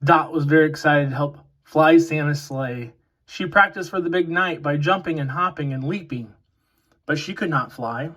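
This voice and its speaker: A man with a fairly high voice narrates a children's storybook. He phrases each line deliberately, in the style of a read-aloud for young listeners. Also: fairly bright tone. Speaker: children's picture book reader.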